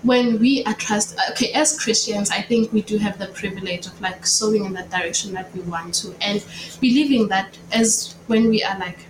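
A woman's voice: medium pitch, normal speed. A young woman on a podcast panel, explaining her thoughts in a casual, informal way. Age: young adult. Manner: informal, explanatory, filler-heavy with "like". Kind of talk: casual faith discussion. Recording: very echoey room, faint noise.

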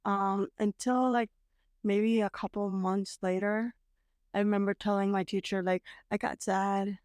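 A woman talking casually with her brother as a podcast guest, reflective and hesitant, with frequent pauses and restarts. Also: mid-range voice, little pitch movement, darkish tone, smooth, dry recording, clean studio sound. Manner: hesitant, reflective, many fillers and restarts, occasional laughs. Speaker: guest, host's sister. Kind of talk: casual conversation. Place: parents' house.

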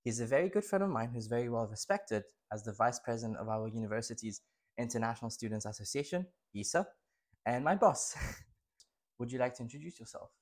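A man's voice: medium pitch, animated, brisk. A young man hosting a podcast, friendly, asking a student guest questions and chiming in briefly. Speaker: podcast host. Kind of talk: casual podcast interview.

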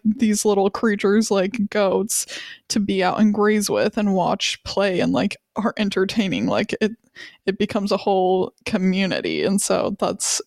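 Slow-talking earnest woman co-host. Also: medium pitch, darkish tone, dry recording, clean studio sound. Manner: earnest, thinking aloud with restarts. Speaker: podcast co-host. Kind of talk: casual chat.

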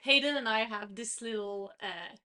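Bright French-Canadian woman, playful and giggly. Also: a little high, normal speed. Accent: Quebec French. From Quebec, Canada. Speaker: podcast co-host. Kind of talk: casual chat.

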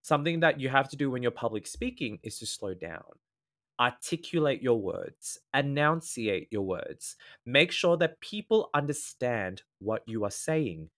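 An Australian man whose voice has a slight nasal edge. He explains his advice patiently in a relaxed interview, setting out each point in turn. His pitch sits right in the middle for a man. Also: unhurried. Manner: earnest, explanatory, lays out tips in ordered points. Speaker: guest speaker, former event MC. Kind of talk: casual podcast interview.

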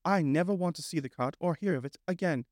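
A man voicing a vain, excitable male toad gentleman, speaking in a dreamy, drawn-out way. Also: fairly high pitch, no room sound, studio quality, voice pushed up, natural voice. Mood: dreamy rapture.

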